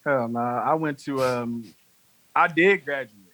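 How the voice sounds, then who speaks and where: A man in his late twenties, a podcast guest, telling stories in a rambling, animated way, full of "like". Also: medium pitch, slow pace, a little hiss or hum, rough recording. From North Carolina. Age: 20s. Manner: rambling, heavy use of "like", animated storytelling. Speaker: podcast guest, music manager. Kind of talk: casual chat.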